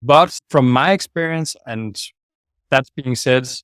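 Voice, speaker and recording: A man's voice: mid-range voice, slow, fairly bright tone, dry recording, clean studio sound. A man with a Danish accent, a sales manager giving direct, plain-spoken answers as an interview guest over a video call. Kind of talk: casual interview talk.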